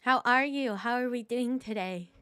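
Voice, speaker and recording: A woman's voice: a little high, normal speed, a bit bright, clean tone. A woman game streamer giving chatty, informal live reactions into a close microphone. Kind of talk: casual live reaction commentary.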